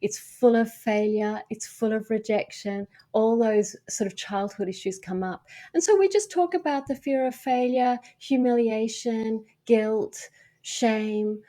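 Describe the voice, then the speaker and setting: A woman's voice: fairly high pitch, measured pace, dark tone. A woman with an Australian accent, a meditation coach speaking frankly and earnestly as a podcast guest, thinking aloud as she goes. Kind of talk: podcast interview.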